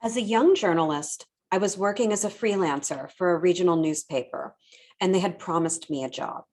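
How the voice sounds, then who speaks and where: Lower-voiced woman reading testimony aloud. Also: a little fast, a little mellow.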